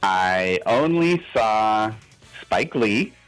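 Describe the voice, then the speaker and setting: A man's voice: fairly low pitch, lively intonation, very slow, clear, resonant tone, soft background music, rough recording. A man calling in to a radio show for a casual chat, laid-back and dryly joking.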